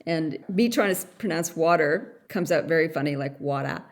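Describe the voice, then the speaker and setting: A woman's voice: deep voice, moderate pace. A woman from Canada hosting a podcast interview in a playful, chatty way. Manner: playful, laughs easily. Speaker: podcast host. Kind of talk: casual interview chat.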